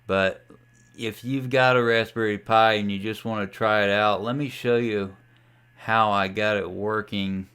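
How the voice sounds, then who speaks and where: A man giving a casual, folksy tutorial as a ham radio hobbyist, talking through a software demo in an unhurried way. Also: lowish voice, steady tone, clear, resonant tone. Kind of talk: casual screen-share tutorial.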